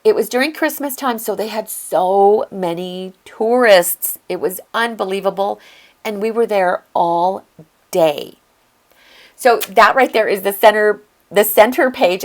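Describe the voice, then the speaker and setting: An older woman narrating a casual flip-through of her craft journal, chatty and gushing, her voice slightly hoarse from a lingering illness. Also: medium pitch, expressive, a little slow. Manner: chatty and gushing, with occasional throat clearing.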